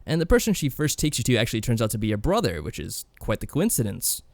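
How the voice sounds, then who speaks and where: A man co-hosting a podcast, discussing games casually in a measured, mild-mannered way. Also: medium pitch, wildly swooping pitch, no echo. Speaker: podcast co-host.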